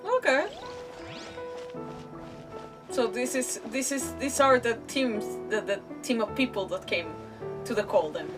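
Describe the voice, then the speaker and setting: A woman's voice: on the high side, expressive, unhurried, long pauses, distant mic. A woman streaming a game with casual commentary, thinking aloud hesitantly and repeating words. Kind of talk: casual live gameplay commentary. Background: game music.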